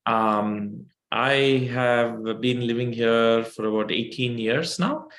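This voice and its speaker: Middle-aged indian guy, gravelly voice, echoey video call, introducing himself as a meditation teacher. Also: medium pitch, slow pace, a bit bright. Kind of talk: self-introduction to a group.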